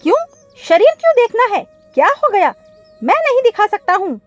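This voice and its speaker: A woman voicing an excitable, defensive male crow in a cartoon story, loud and exclaiming. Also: very high voice, moderate pace, lo-fi sound, faint music behind, voice pushed up.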